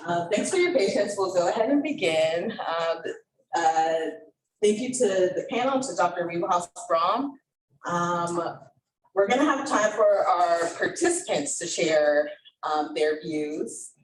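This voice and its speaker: A woman hosting a community panel discussion, speaking informally and haltingly as she addresses those gathered. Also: lowish voice, expressive. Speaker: event host. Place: echoey event room. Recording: roomy, distant mic with clear background noise.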